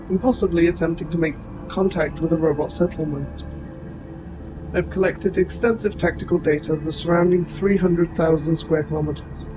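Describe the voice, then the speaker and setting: A man voicing an old military robot, formal, precise and gently protective, in a scripted audio roleplay addressed to the listener. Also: a little high, natural voice, poor audio. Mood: calm, attentive. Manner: formal, precise, measured phrasing. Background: music.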